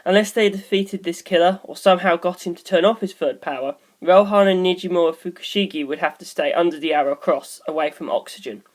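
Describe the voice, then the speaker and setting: A woman's voice: fairly low pitch, fairly quick, hardly any pauses. A woman reading scripted story narration as an audiobook narrator.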